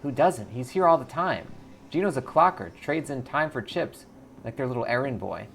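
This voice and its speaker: A man's voice: medium pitch, expressive, normal speed, creaky, fairly bright tone, faint music behind. A man voicing a careworn, wary woman in her thirties in a game, speaking in short plain sentences. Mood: wary, informative.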